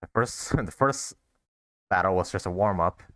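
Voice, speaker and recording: A man giving casual, excitable commentary as he streams a video game. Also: deep voice, normal speed, no room sound. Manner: excitable, reactive. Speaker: gaming streamer.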